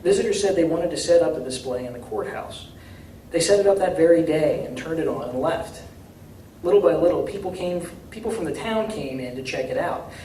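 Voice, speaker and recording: A man giving a live reading of literary fiction in a measured, deliberate way, recorded from a distance in a room. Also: fairly high pitch, expressive, warm tone. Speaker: author reading from his novel. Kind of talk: live literary reading, dramatic first-person narration. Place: indoor reading at a university. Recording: distant, echoey room with faint noise.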